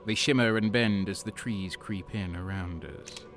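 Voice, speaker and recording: Man acting a low, brooding deserter soldier. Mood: uneasy. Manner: slow, brooding, breaking into whispers. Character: cynical, faithless deserter soldier, sadistic and on edge. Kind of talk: audio drama first-person narration and dialogue. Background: music.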